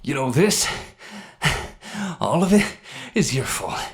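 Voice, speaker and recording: A man voicing a wounded, guilt-ridden robber in a dramatic story, bitter and grieving, with hoarse, folksy, sweary speech. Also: on the high side, very animated, slow pace, voice pushed up, exaggerated voice. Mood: angry, accusing. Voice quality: hoarse, breathy at times. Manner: rough folksy talk, swearing, sighing, talking to a dead partner. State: pained, worn out.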